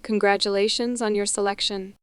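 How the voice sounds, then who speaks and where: A man's voice: sits high, level tone, brisk, clear, resonant tone, no room sound, studio quality, pitched above the performer's own voice. A man voicing a pleasant, melodious female naval officer speaking formally on the phone. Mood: polite. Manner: soft, polite, formal.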